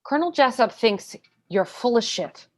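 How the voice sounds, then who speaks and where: Woman acting exasperated female military lawyer, high voice, very animated, sarcastic, insistent, stage play reading. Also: normal speed, lightly acted. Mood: angry, insistent. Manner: hard-hitting, insistent questioning with sarcasm. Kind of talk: stage play read-through.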